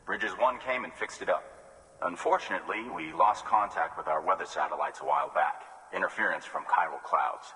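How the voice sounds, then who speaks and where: Deep, bright-voiced station man. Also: brisk. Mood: earnest, grateful. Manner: polite, earnest. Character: grateful, courteous male weather station worker. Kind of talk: video game cutscene acting. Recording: long reverb, faint noise.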